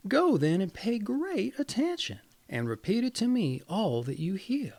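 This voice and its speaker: Scheming farm wife, done by a male reader: raised pitch, fussy, plaintive, dramatic fairy-tale delivery. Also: very animated, unhurried, vocal fry, a little mellow, exaggerated voice. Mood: feigned suffering. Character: scheming farmer's wife feigning illness.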